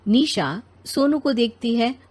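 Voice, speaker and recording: A woman's voice: a little high, animated, slow pace, a little mellow. A woman storyteller reading scripted narration for a short moral story.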